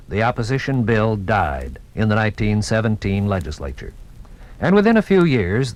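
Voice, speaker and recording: A man's voice: medium pitch, rough recording. American male documentary announcer, medium register, dramatic scripted delivery, vintage radio recording. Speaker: narrator.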